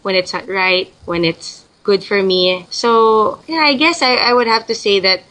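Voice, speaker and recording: A woman's voice: mid-range voice, unhurried, bright, forceful tone. A young woman from the Philippines, a guest in an interview, talking casually and thinking aloud with frequent restarts.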